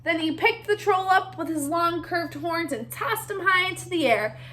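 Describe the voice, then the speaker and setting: A woman's voice: very high voice, expressive, normal speed, sharp tone. A woman reading a storybook aloud to children in an interactive narrating style.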